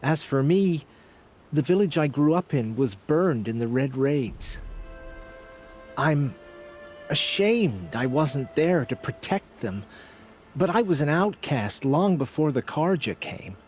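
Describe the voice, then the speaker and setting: An actor playing a humble, remorseful outcast tribesman in a video game, speaking in an earnest, halting, solemn way. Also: on the high side, expressive, darkish tone, faint music behind. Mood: earnest, ashamed. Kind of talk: video game character acting.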